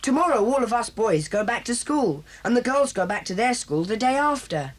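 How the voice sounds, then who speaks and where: A woman voicing a bossy young schoolboy who leads a children's club in an 1980s audio drama, lively and brisk. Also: on the high side. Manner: brisk, bossy, takes charge.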